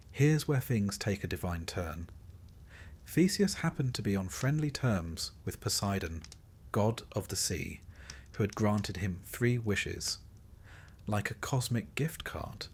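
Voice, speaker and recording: A man narrating a scripted bedtime story in a measured way, with dry, wry understatement. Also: lowish voice, darkish tone, slightly rough.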